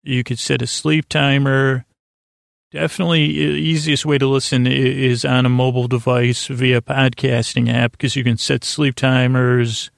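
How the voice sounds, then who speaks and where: Rambling soft-spoken man with a flat, gravelly voice. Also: mid-range voice, moderate pace, dry recording, clean studio sound. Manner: meandering, soft-spoken, full of fillers and restarts. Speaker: podcast host. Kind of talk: rambling sleep podcast intro.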